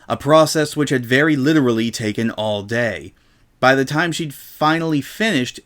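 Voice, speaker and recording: A man's voice: mid-range voice, a bit bright. A man reading a scripted comic story aloud as its narrator, in dramatic storytelling style.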